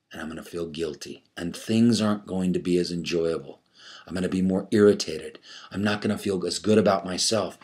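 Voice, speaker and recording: A man giving a conversational motivational coaching talk to an audience, repeating phrases emphatically, asking rhetorical questions and acting out his inner self-talk. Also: fairly low pitch.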